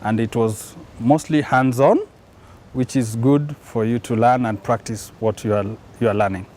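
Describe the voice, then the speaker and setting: A man, a trainee scientist speaking in a measured, enthusiastic way in an interview. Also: mid-range voice, fairly even intonation, darkish tone. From Kenya. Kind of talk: interview testimonial.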